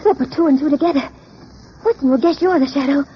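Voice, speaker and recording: A woman's voice: high-pitched, fast, close to an everyday voice. A woman acting an anxious, plucky heroine in a 1930s radio drama, with an urgent, dramatic delivery. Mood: urgent alarm. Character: anxious, plucky young woman, the hero's companion. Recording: muffled, hissy 1930s radio recording.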